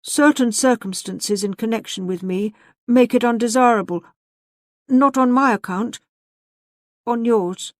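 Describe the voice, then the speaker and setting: A woman voicing a reserved young gentleman suitor in a novel, speaking formally and emphatically. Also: on the high side, measured pace, long pauses, no echo, studio quality, voice pushed up, lightly acted. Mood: regretful.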